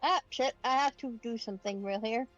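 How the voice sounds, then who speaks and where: A woman's voice: on the high side, brisk, over loud music. A woman playing a tabletop game, chatting casually and wryly over slightly dull voice-chat audio.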